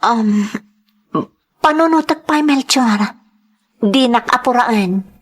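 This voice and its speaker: Hesitant young girlfriend, acted by a woman. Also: fairly high pitch. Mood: hesitant, evasive. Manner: halting, hesitant. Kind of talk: Ilocano audio drama acting.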